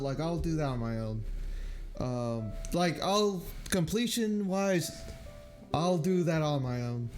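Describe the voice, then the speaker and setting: Male game streamer, slightly high voice, halting and unhurried, casual chat over light music. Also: a little mellow. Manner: hesitant, halting, thinking aloud. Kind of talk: casual livestream chat, rambling review.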